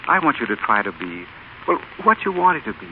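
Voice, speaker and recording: An actor playing an earnest, proper, reproving husband in a 1930s radio drama, speaking in a measured, formal, pleading way. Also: male voice, medium pitch, sing-song, lightly acted. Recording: muffled, noisy old radio broadcast.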